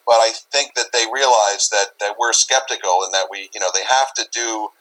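A man with a fairly low voice speaks as a guest in a relaxed interview. He rambles, repeating words and leaning on small verbal tags as he works through his explanation. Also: fairly quick, rough recording. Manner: rambling, repeats phrases, often says "you know". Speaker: interview guest, paranormal investigator. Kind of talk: casual conversational interview.

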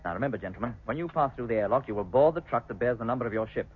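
A man's voice: medium pitch, lively intonation, rapid-fire, breathy edge. An actor playing a commanding male spaceship captain in a 1950s British radio drama, with a clipped, formal British delivery. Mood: brisk command. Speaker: actor in a radio science fiction serial. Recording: 1950s radio recording, tinny and muffled.

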